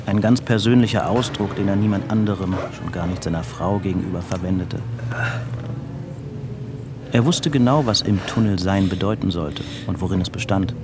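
A man's voice: low-pitched, normal speed, noisy background, natural voice. A man narrating a radio play in a literary, restrained and introspective way, with music behind him. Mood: measured, reflective. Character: restrained, introspective male narrator of a crime novel.

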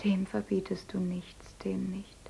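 A woman's voice: mid-range voice, level tone, measured pace, creaky, mellow, a little hiss or hum. An actress playing a lonely young foster daughter who whispers her inner thoughts in a radio play. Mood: longing.

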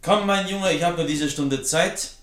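An actor in a radio drama playing a brisk, impatient man hurrying along a younger colleague. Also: a little high, a bit bright, lightly acted. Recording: echoey room, off mic.

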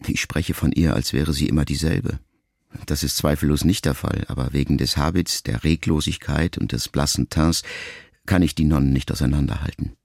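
A middle-aged actor playing a reflective grown son, a writer, who addresses his dying mother in a measured, confessional literary monologue for a radio play. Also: a bass voice, steady tone, creaky, a little mellow, no room sound, studio quality, exaggerated voice. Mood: sombre, brooding. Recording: music behind the voice. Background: music.

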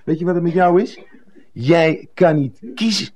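A man's voice: fairly high pitch, moderate pace, lightly acted. An actor playing a boisterous, bullying former colleague in a comic radio play, hearty and mocking as he teases and badgers. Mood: lecturing. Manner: loud joviality, teasing catchphrases, badgering.

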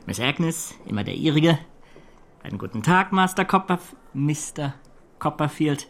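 A creaky, fawning, scheming clerk. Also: male voice, a little high, animated, unhurried, fairly bright tone, heavily acted. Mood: oily, servile. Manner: fawning, unctuous, formal. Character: obsequious scheming male clerk, falsely humble. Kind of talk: German radio play acting.

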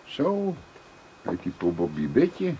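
An actor playing a calm, polite middle-aged police detective in a crime radio drama, speaking in a measured, deliberate way. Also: male voice, low voice, muffled recording, heavily acted. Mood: friendly. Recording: clearly noisy recording with clicks.

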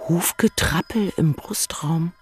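A woman's voice: lowish voice, slow pace, slightly rough, full character voice. An actress playing a restless, questioning woman in a poetic radio play, speaking in short clipped phrases. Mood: restless, bleak. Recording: music under the voice. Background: music.

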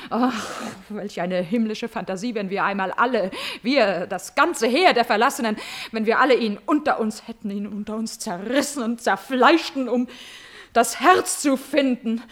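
A woman acts a scorned countess in a classical radio tragedy. Her voice is fairly high, and she speaks theatrically, with biting irony, drawn-out repetitions and a passionate, vengeful temper. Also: lightly acted. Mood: bitter, vengeful. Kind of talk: classical German stage tragedy as radio drama.

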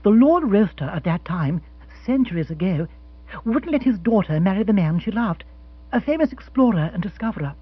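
A man voicing a brisk, proper aristocratic wife in an audiobook, with a husky voice and a crisp, matter-of-fact delivery. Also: sits high, big pitch swings, voice pushed up, full character voice. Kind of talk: audiobook character voice. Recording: dull, dry, slightly lo-fi recording.

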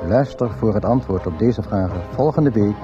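Low-voiced male radio narrator over music. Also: normal speed, dull audio. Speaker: radio drama narrator and announcer. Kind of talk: scripted narration.

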